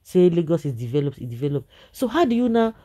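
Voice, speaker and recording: Nigerian commentator with a very dark, mellow voice. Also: mid-range voice, big pitch swings, quick pace. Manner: exasperated, emphatic, repeats phrases for effect; slips between English and Nigerian Pidgin. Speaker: commentator reading and reacting to viewer comments. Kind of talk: informal opinionated news commentary.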